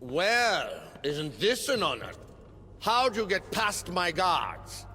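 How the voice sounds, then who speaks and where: An actor playing a haughty, cold, mock-polite overseer sneering in a video game, with long reverb. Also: male voice, sits high, sing-song, slow, pushed and bright, heavily acted. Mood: sneering, menacing. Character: haughty, cold male overseer who scorns humans. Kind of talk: villainous video game acting.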